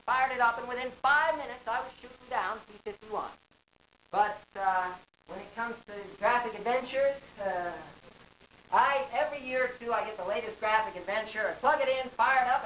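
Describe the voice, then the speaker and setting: A man's voice: very high-pitched, pushed and bright, distant mic. A man in his forties giving a theatrical conference talk, with dramatic, emphatic phrasing and rhetorical questions. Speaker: conference speaker, game designer. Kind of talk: theatrical lecture to an audience. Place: carpeted hotel conference room. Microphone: distant camera microphone. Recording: hissy, echoey camcorder recording from afar.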